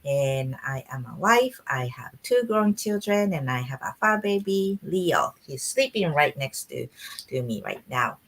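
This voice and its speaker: A woman from Japan, hosting a live online business chat in an animated, chatty way. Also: sits low, a little slow. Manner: animated, chatty, laughs often. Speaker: host.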